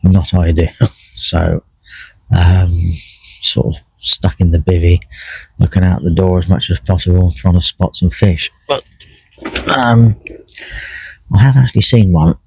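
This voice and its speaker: A middle-aged man with a croaky voice, chatting casually by himself while out fishing, with lots of fillers and restarts. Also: deep voice, a little slow, pauses often, no room sound, poor audio. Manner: relaxed, rambling, many fillers and restarts. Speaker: carp angler vlogging a session. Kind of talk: casual solo vlog commentary. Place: in a fishing bivvy by a lake.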